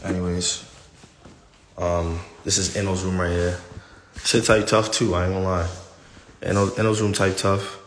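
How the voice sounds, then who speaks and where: A man's voice: low voice, normal speed, long pauses, slight background noise. A young man livestreaming as he walks through an empty house, talking casually in slang with lots of swearing and hype, into a phone held at arm's length. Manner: excitable, slangy, swears a lot, hypes things up. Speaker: livestreamer giving a house tour. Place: large empty house indoors, walking between rooms.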